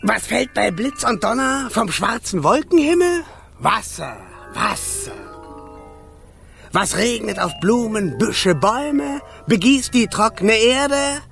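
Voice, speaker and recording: A man's voice: sits high, sing-song, slow pace, creaky, a bit bright, heavily acted. A man voicing a slimy, boastful water sprite in a children's radio play, theatrical and taunting, relishing every splashy word. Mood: grandiose. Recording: music behind the voice. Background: music.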